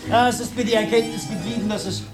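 A man's voice: high-pitched, fairly even intonation, lightly acted. Actor as stiff, earnest older man. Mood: earnest, regretful. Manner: stiff, formal, halting. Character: awkward, earnest older self-taught working man, pedantic. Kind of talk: radio drama acting. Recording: roomy radio drama, music and noise behind.

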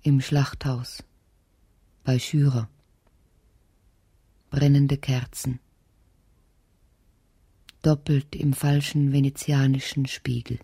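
Breathy, low-voiced lonely woman. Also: level tone, dark tone, no room sound, heavily acted. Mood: bleak, brooding. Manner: breathy whispering, halting fragments. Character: lonely, anxious, bitter woman in bleak slum. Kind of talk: literary radio drama monologue and dialogue.

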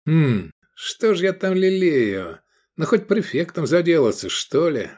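A man's voice: high voice, big pitch swings, takes it slowly, a little mellow, voice pushed up, full character voice. A man voicing a brash, naive young gladiator in an audiobook, cheeky and exclamatory. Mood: dreamy, cocky.

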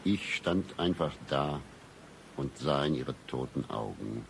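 An actor playing a cynical, deadpan hard-boiled private detective in a satirical noir radio play, with a husky voice. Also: male voice, slow, background noise, heavily acted. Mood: brooding, world-weary. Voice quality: very deep, husky, gravelly. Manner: deadpan, accusing, melodramatic.

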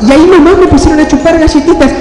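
A man's voice: extremely high pitch, steady tone, rapid-fire, a crowd in the background. A man voicing an eccentric, sentimental young Argentine woman, coy and melodramatic, in a comic radio play. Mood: coy, nostalgic. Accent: Argentine, Rioplatense. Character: eccentric, sentimental young woman proud of her licking tongue. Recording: echoey hall reverb, music behind.

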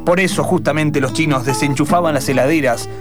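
A man narrates an improvised radio play in a high-set voice. He works as storyteller over loud plucked guitar music. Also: a little fast.

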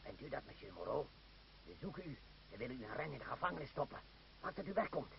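A man's voice: mid-range voice, sing-song, quick pace, gravelly, crowd noise. An actor playing a timid, fearful ferryman in an old radio play, hesitant and deferential. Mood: wary. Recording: noisy, dull old radio play recording.

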